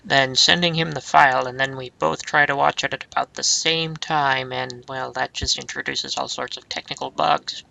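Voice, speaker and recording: A man's voice: medium pitch, fairly even intonation, normal speed, creaky, few pauses, no echo, rough recording. A man giving casual let's play commentary on a video game. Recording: game music loud behind voice. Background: video game music.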